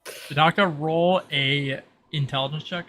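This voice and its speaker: A man running a tabletop roleplay game as game master, halting and repeating himself as he explains. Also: on the high side, fairly even intonation. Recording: clear background noise.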